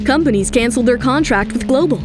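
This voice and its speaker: A woman's voice: a little high, fast talker. A woman delivering dramatic scripted narration in an emphatic storytelling manner. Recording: music under the voice. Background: dramatic background music.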